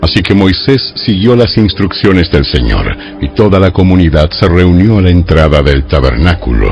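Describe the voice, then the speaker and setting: A man narrating a dramatized Bible in a solemn, measured storytelling style. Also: low-pitched, animated, a little mellow, dull audio, faint music behind.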